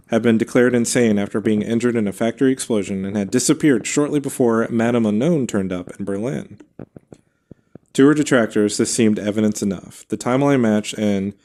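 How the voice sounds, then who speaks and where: A man with a fairly low voice reads a scripted history story as podcast host. He is measured, stepping out for casual asides. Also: warm tone. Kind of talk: reading a scripted history narration.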